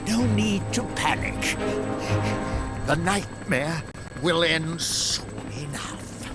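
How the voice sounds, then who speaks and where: An actor playing a smug middle-aged villain in a video game, a gloating fanatic with theatrical, drawn-out delivery. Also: male voice, on the high side, sing-song, loud music behind the voice, heavily acted. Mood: scornful. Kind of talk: dramatic video game acting.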